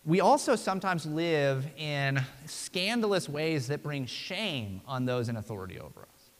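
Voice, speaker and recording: Pastor, male, 30s; relaxed sermon from the pulpit, higher voice, long sanctuary reverb. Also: measured pace. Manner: conversational, frequent tag "right?". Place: church sanctuary.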